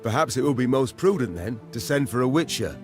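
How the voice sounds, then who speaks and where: A man acts out a courteous, careful royal officer from a fantasy game. He speaks formally and evenly at a middle pitch, with music behind him. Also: expressive, natural voice. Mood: prudent. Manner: polite, formal, measured. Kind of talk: dramatic scripted game dialogue.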